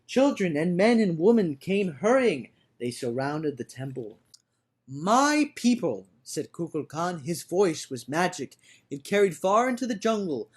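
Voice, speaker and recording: A man reading a storybook aloud as a dramatic, theatrical storyteller who moves between hushed and loud delivery. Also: fairly high pitch, big pitch swings, normal speed, breathy edge. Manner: theatrical, swinging from hushed to shouting. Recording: orchestral music behind the voice. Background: orchestral music.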